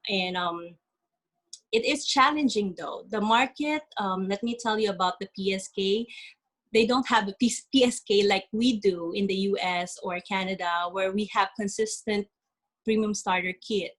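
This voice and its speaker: A woman from the Philippines, giving an earnest talk on a video call, often pausing with "um". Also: medium pitch, fairly bright tone. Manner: earnest, halting with many ums. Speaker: guest speaker. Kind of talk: webinar presentation.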